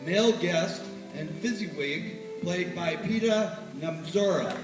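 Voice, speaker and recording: A man with a high voice makes a formal stage announcement, reading out credits at a slow, measured pace. He is heard from far off in a reverberant hall, with loud music and crowd noise around him. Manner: deliberate, measured listing of names. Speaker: announcer introducing cast and crew. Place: theater hall at a stage performance. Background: loud music and audience noise.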